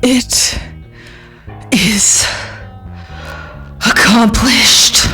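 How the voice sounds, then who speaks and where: Lady playing solemn drama voice, super slow and deliberate, bright tone, faint music behind. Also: on the high side, fairly even intonation, exaggerated voice.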